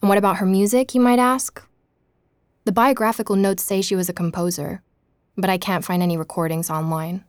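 A woman playing an earnest investigative journalist, narrating a podcast-style audio drama in a measured, inquisitive way. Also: medium pitch, steady tone, clear, resonant tone, no room sound, studio quality, close to an everyday voice. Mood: wistful, indignant. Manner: inquisitive, measured storytelling with rhetorical questions.

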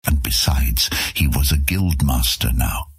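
A man with a deep, husky voice reading scripted fantasy audiobook narration. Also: a little slow, no echo, studio quality.

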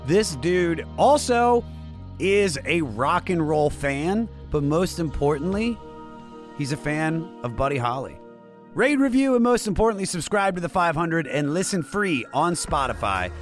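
Male podcast host, higher-pitched and very animated, slangy, excitable banter over music. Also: a little slow. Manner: excitable, casual, slangy, breaks into singing.

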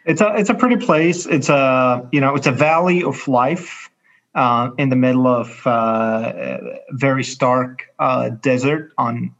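Creaky Egyptian-accented man, halting, slightly muffled. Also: medium pitch, fairly bright tone. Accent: Egyptian Arabic. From Cairo, Egypt. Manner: halting, searching for words. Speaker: interview guest, educator. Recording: dull, muffled lo-fi audio.

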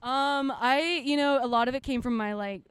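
A woman's voice: high-pitched, normal speed, clear, resonant tone, dry recording. A woman from Japan speaking passionately and casually as an interviewed musician, peppering her talk with "like" and "you know.". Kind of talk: casual, opinionated interview chat.

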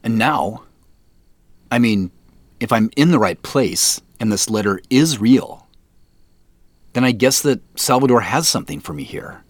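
A man's voice: medium pitch, lively intonation, measured pace, pauses often, dry recording. A man acting as a guy on a family quest in an audio drama, in natural, conversational, slightly hesitant dialogue. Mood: pensive, intrigued. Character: Joseph, adult man on a mysterious family quest.

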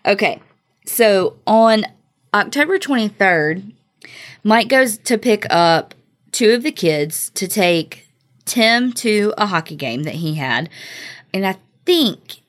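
A woman's voice: mid-range voice, animated, takes it slowly. An American woman co-hosting a podcast, telling a story in a casual, conversational way with informal, joking asides. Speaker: true-crime podcast co-host.

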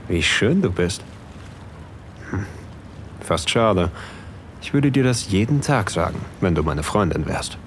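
Charming male deckhand, very low gravelly voice, lively intonation, quietly flirty, romantic audio drama. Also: measured pace, long pauses, a little hiss or hum. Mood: seductive. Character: tall, charming, confident male yacht deckhand. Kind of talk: intimate erotic audio drama dialogue.

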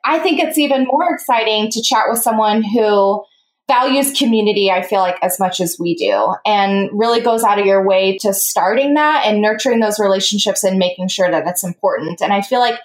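A woman's voice: medium pitch, brisk, roomy sound. A woman co-hosting a podcast, talking in a bubbly, casual way full of fillers. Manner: bubbly, filler-heavy, lots of "like". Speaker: podcast co-host. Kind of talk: casual interview conversation.

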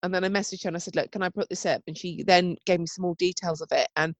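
A woman's voice: lowish voice, fairly even intonation, quick pace, dry recording. A woman with a wheezy, chesty voice and a cough, chatting on a podcast. She tells her story casually and chattily, with a dry, close sound. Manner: chatty storytelling with frequent "oh my God" and laughs. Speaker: podcast co-host. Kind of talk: casual chat.

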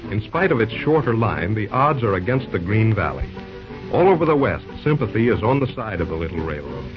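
A man's voice: on the low side, big pitch swings, a little fast, over music. A man giving scripted narration as an announcer for an old-time radio drama. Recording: muffled old radio recording.

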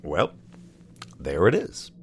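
A wry, wary friend, animated pitch. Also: male voice, takes it slowly, breathy edge, full character voice. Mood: dry, bemused. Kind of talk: naturalistic audio drama acting.

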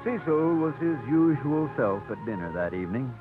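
A man giving measured, dry narration for a 1950s radio drama. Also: mid-range voice, sing-song. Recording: tinny old radio with music.

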